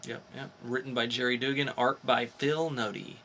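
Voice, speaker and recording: A man's voice: mid-range voice, fairly even intonation, moderate pace, sharp tone. A man co-hosting a podcast, chatting casually and enthusiastically about entertainment news in an informal fan's way. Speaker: podcast co-host.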